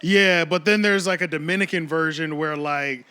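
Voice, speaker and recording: A man hosting a podcast chats casually in an animated, emphatic way. His voice is bright and fairly high for a man. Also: moderate pace, clear, resonant tone. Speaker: podcast host. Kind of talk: casual chat.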